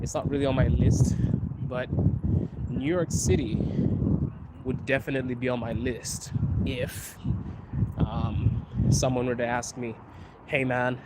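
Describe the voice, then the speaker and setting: A man's voice: mid-range voice, fairly even intonation, measured pace, long pauses. A man from Zimbabwe hosting a casual live stream, chatting with viewers and answering their questions while riding the metro. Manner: chatty, answers chat questions, laughs often. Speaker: livestream host. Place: Moscow metro train and platform. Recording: loud train and city noise.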